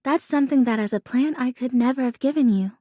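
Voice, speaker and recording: A woman voicing a sweet, plucky, excitable talking houseplant in a video game, with earnest, gushing delivery and quaint folksy exclamations. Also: high-pitched, fast, no room sound, studio quality. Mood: tender, grateful. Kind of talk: video game character acting.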